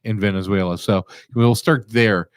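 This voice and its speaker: A man's voice: on the low side, steady tone, normal speed, dry recording, clean studio sound. A man hosting an interview show, rambling a little with fillers as he introduces his guest. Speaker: host.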